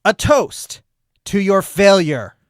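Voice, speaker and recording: A man voicing a cocky aerobics instructor defendant, taunting in a slow, mocking drawl. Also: high voice, lively intonation, noisy background. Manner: taunting, drawn-out mocking delivery.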